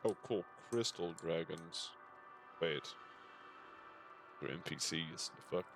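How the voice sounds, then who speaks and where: A man's voice: low voice, takes it slowly. A man streaming a video game with casual, offhand, slangy commentary and some swearing. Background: faint game music.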